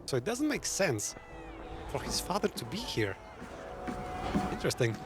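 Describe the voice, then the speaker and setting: Male game streamer over loud music. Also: fairly high pitch, huge pitch swings, moderate pace. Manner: musing aloud, chatting to viewers. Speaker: gaming streamer. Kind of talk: casual live game commentary.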